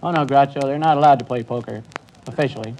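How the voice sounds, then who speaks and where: A man with an American accent, a naval commander, answering a quiz host's questions in a measured, polite, earnest way. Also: medium pitch, very noisy. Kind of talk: interview answers on a quiz show.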